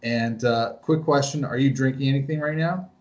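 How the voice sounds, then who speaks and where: A man hosting a podcast, asking relaxed, easygoing questions in a casual interview. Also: medium pitch, normal speed. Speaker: podcast host.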